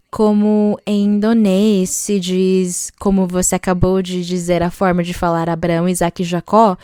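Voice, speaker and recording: A woman's voice: fairly low pitch, warm tone, clean tone, no echo, studio quality. A woman church leader giving a patient, step-by-step sermon-style answer to a question. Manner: slow, patient, explaining step by step. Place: large arena.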